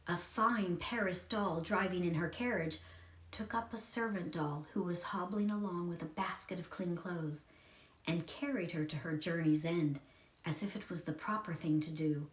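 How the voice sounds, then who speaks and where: A woman's voice: lowish voice, moderate pace, echoey room. A woman reading a children's story aloud as a scripted narration.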